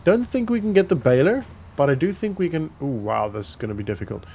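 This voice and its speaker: A man's voice: on the high side, sing-song, fast talker, mellow, a little hiss or hum. A man giving casual, informal roleplay commentary as a gaming vlogger, thinking aloud as he goes. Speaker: gaming vlog host.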